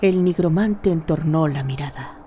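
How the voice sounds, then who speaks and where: Low, mellow woman narrator. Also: normal speed, slight background noise. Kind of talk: scripted dramatic storytelling narration.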